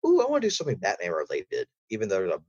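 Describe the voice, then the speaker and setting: A man's voice: sits low, huge pitch swings, rapid-fire, a little mellow, husky. A man speaking as a podcast guest, giving advice in casual, emphatic, rambling explanations. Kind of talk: casual interview chat with advice. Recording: roomy, slightly dull audio.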